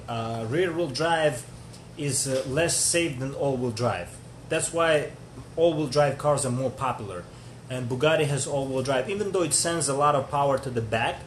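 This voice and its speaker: A man's voice: fairly high pitch, normal speed. A man from Georgia hosting a casual live stream chat, chatty and joking as he answers viewers. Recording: echoey room with faint noise.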